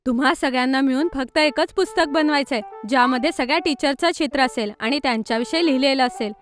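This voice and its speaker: A woman's voice: high-pitched, fast, lightly acted. A woman voicing a caring, confident older schoolgirl with a big-sister manner in a children's cartoon story. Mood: encouraging. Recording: music behind the voice.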